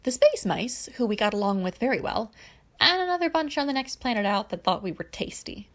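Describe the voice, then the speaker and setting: A woman's voice: on the high side, big pitch swings, fairly quick, voice pushed up. A woman voicing a cheerful, chatty older alien man who rambles and exclaims in a fan fiction reading. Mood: chatty, curious.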